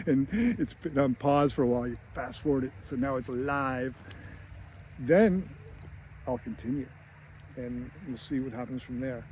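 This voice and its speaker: A man's voice: mid-range voice, darkish tone, slight background noise, low-quality recording. Chatty middle-aged Boston man outdoors. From United States. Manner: chatty and rambling, with laughs and restarts. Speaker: screenwriter and teacher. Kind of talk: casual vlog to camera. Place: outdoors near a beach.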